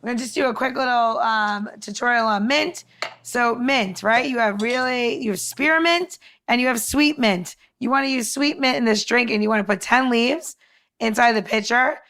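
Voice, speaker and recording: A woman with a fairly high voice co-hosts a casual podcast. She talks in an informal, upbeat way, as if walking friends through something easy, sprinkling in conversational filler. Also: moderate pace, a bit bright. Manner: informal, upbeat, peppered with like and you know. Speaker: podcast co-host presenting cocktail recipes. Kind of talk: casual chatty recipe walkthrough.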